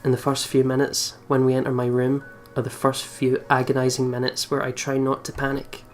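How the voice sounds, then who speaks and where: A man talks through a video game in a casual let's play style, reading story text aloud and adding his own commentary. His pitch sits in a middle range, with some game music behind him. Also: completely flat delivery, brisk. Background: visual novel game music.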